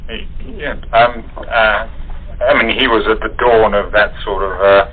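Guy actor chatting, bit deep and rough-voiced, wry, rambly and halting, phone audio. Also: steady tone. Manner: wry, wordy, hesitant delivery. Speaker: actor guest. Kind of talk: casual chat. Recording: muffled, narrow phone-like line.

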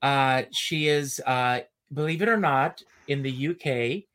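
A man's voice: medium pitch, a little slow, a bit bright. A man hosting a talk show, enthusiastically introducing and welcoming his guest. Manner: effusive, enthusiastic. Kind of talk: casual interview chat.

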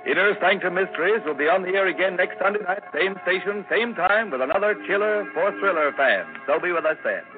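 Old time radio announcer guy, high voice, tinny broadcast with music under him. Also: lively intonation, a little fast, hardly any pauses.

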